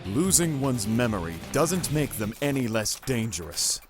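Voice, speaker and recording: A man's voice: mid-range voice, sing-song, moderate pace, natural voice. A man doing a grim cold hedgehog. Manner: cold, threatening. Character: grim stubborn male hedgehog, cold and menacing. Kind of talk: fan comic dub. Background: background music.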